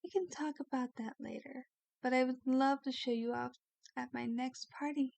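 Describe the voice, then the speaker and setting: A woman playing a kindly, doting vampire lady in an ASMR roleplay, speaking gently with a genteel, coaxing manner. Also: high voice, fairly even intonation, moderate pace, vocal fry, no echo. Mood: doting, pleased. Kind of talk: ASMR roleplay, soft scripted acting.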